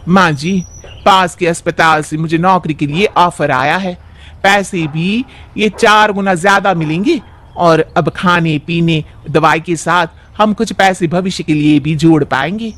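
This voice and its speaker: A man's voice: fairly high pitch, measured pace, a bit bright. A man voicing a determined young village daughter-in-law, earnest, respectful and dutiful. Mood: hopeful.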